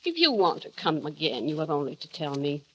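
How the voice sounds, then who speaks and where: An actress playing an obsessive, possessive housekeeper in a vintage radio drama, coaxing and insistent. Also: female voice, sits low, sing-song, fairly quick, a little hiss or hum. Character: obsessive, possessive middle-aged housekeeper woman, coldly devoted. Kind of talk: 1940s radio acting. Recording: dull vintage radio recording.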